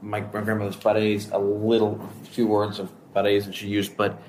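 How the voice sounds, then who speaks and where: Low-voiced man, halting, echoey room. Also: completely flat delivery, darkish tone. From United States. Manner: halting, repeats words while thinking. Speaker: podcast co-host. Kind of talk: casual group chat. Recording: roomy echo, faint room noise.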